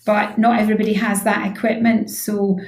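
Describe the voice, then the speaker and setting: A woman's voice: medium pitch, little pitch movement, a little fast, darkish tone. An echoey recording of a woman with a mid-range voice, talking as a guest on a radio chat show. She explains her work informally and often affirms what she is asked. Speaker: head of physiotherapy, interview guest. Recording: echoey room sound.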